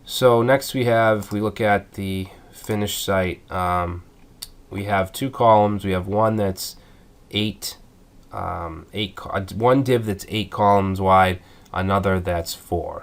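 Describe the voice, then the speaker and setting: A man with a stuffed-up voice from a cold, calmly explaining web code step by step in a screencast tutorial. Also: sits low, gravelly. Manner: methodical, thinking aloud with fillers. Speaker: coding tutorial instructor.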